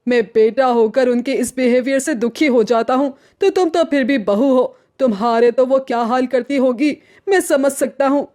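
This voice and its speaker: A woman plays a young husband and son in a cartoon tale. The voice is high for the part. The delivery is exasperated and protective, complaining as it reasons. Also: moderate pace, few pauses, a little mellow, natural voice. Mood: sympathetic. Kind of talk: cartoon story voice acting.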